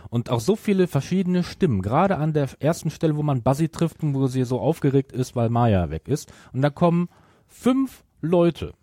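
A man's voice: a little high, animated, moderate pace, warm tone, no echo, studio quality. A man co-hosting a podcast, talking casually about audio dramas. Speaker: podcast co-host.

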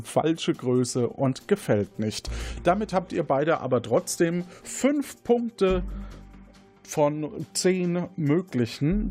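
Male quiz moderator: expressive pitch movement, slow measured delivery, faint music underneath. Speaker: quiz show host. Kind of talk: game show moderation.

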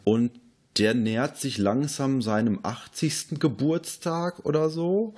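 A man's voice: medium pitch, a little slow, a little mellow. A man co-hosting a podcast, talking casually about films. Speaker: podcast co-host. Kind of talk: casual film discussion.

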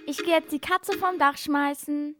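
A high-pitched little kindergarten child, acted by a young female, in a radio sketch with music behind. The child sounds whiny and pleading, wanting to be noticed. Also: steady tone, normal speed, lightly acted. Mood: hurt, sulky.

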